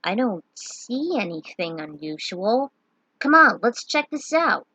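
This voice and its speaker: A woman's voice: medium pitch, big pitch swings, slow, bright, forceful tone, dry recording, muffled recording, heavily acted. This is a woman acting out a brave young knight in a fantasy adventure story. He gives orders with urgent emphasis and rallies his companions, in a dry recording. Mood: confident. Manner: commanding, emphatic, urging.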